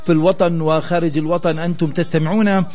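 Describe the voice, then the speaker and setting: A Palestinian man hosts a live radio call-in show. His voice sits a little high for a man. He talks conversationally to his listeners, in his local Arabic accent. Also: flat delivery, fast, darkish tone.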